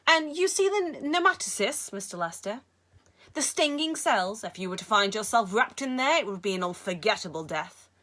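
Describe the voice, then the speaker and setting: A woman's voice: mid-range voice, expressive, moderate pace, pushed and bright. A woman voicing a short, cold, menacing male tycoon with an Egyptian accent, speaking in a silky, self-satisfied way. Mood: smooth menace.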